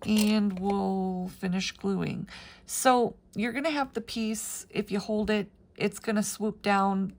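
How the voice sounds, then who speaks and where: Raspy woman with flu, chatty crafter. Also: mid-range voice. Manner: chatty, rambling with frequent ums. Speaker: paper piecing crafter teaching a tutorial. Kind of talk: casual step-by-step craft tutorial.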